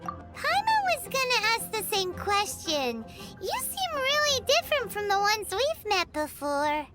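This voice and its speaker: A woman voicing a tiny, childlike fairy companion in a video game, inquisitive and cheeky, with a squeaky voice and exclamatory delivery. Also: wildly swooping pitch, a little slow, full character voice. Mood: skeptical. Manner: exclamatory, questioning, refers to herself by name. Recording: playful music under the voice. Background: tinkling playful music.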